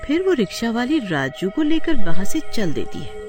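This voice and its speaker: A man's voice: very high-pitched, huge pitch swings, normal speed, over music. A man narrating a scripted horror story in a dramatic storytelling style.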